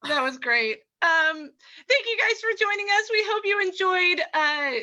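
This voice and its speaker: A woman's voice: very high-pitched, expressive, unhurried, sharp tone, dull audio. A woman hosting, casually addressing her audience at the end of a show. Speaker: host of radio play reading.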